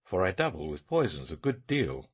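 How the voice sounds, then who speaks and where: A man voicing a brisk, eccentric detective in an audiobook, lively and matter-of-fact. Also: low-pitched, husky, heavily acted. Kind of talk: audiobook character reading.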